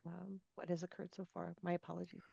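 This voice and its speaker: A woman with a low, gravelly voice speaks formally and carefully at a board meeting, restarting her phrases now and then. The audio is dull and lo-fi, but dry and close, with no room echo. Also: level tone, quick pace, dark tone.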